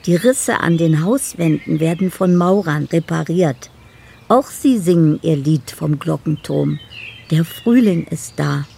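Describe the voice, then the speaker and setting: A dreamy older woman with a gravelly, low voice. She drifts slowly through poetic memories and love letters, as in a radio play. A faint noise sits behind her. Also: warm tone. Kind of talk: radio drama monologue, reading out love letters.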